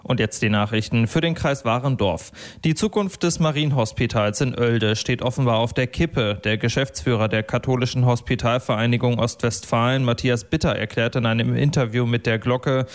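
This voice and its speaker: A man's voice: mid-range voice, fairly even intonation, normal speed. A man reading scripted news bulletins as a radio newsreader in a studio.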